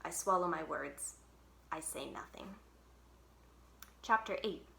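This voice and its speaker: Bright-voiced woman reading a children's story. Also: deep voice, animated, slow, creaky, echoey room, a little hiss or hum. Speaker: library read-aloud storyteller. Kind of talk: expressive children's book read-aloud.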